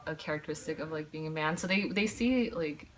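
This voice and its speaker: A young woman student with a low voice, talking casually in an interview and often correcting herself mid-thought. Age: young adult. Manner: halting, self-correcting.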